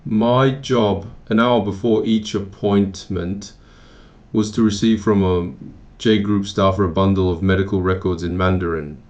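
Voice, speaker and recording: A man from Taiwan reads his own memoir in a low, steady voice, measured and matter-of-fact, now and then restarting a word. The recording sounds dull and lo-fi. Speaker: memoir narrator. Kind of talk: first-person memoir narration.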